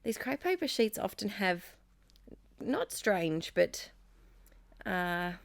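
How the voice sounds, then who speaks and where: An Australian woman in her thirties, slightly croaky, chatting casually and rambling with fillers and giggles as she narrates her scrapbooking. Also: medium pitch, sing-song, slow, no echo. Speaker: scrapbooking YouTuber. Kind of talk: casual crafting voice-over. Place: home craft space.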